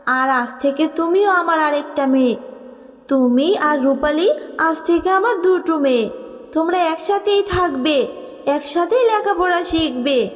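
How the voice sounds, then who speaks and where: A woman's voice: high-pitched, a little slow, in a large hall. A woman doing a tender fairy mother. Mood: gentle. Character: loving fairy mother, warm and protective.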